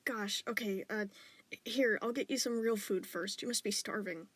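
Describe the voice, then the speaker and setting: A woman's voice: medium pitch, normal speed, a bit bright, dry recording, natural voice. A woman voicing a worried, guilt-stricken middle-aged father who stammers through anxious apologies. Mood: gently coaxing.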